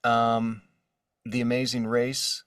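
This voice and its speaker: A man in his forties speaking casually as an interview guest, telling stories in an animated manner from his studio. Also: medium pitch, takes it slowly, smooth, no echo, recorded from a distance. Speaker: guest, voice actor. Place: his own voiceover studio.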